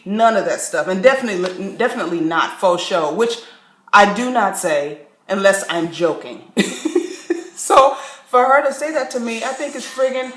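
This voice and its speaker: A woman from Chicago vlogging straight to camera, candid and wry, with frequent laughs. Her voice rings in a roomy, echoing space, and faint music sits low behind her. She is mid-pitched for a woman, with lively asides. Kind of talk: casual storytelling monologue. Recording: echoey room, faint background music.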